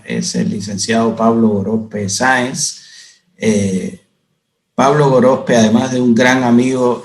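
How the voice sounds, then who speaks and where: Slow, hesitant man in echoey room. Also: medium pitch. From Cuba. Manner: hesitant, full of "eh" fillers, measured. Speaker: moderator. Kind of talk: moderating a webinar panel.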